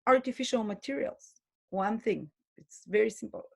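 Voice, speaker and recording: A woman giving an earnest spoken lecture as a spiritual teacher, pausing and restarting her phrases often. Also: fairly high pitch, creaky, slightly rough. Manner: earnest, with frequent restarts and hesitations.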